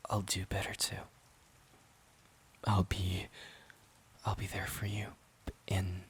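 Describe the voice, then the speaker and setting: A man's voice: low voice, bright, forceful tone, breathy edge, full character voice. A man playing a wounded, devoted boyfriend who pleads hesitantly and earnestly in an intimate audio drama. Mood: relieved, earnest. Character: wounded, devoted boyfriend desperate to save relationship.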